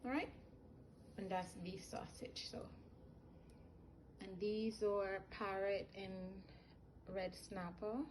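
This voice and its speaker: A woman's voice: mid-range voice, steady tone, slow, pauses often, rough recording. A Jamaican woman with a Jamaican accent, chatting casually through a cooking vlog as she explains each step while filming on a handheld phone in her home kitchen. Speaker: home cook selling meals. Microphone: hand-held phone. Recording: kitchen phone recording with loud cooking noise. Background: loud boiling and cooking sounds.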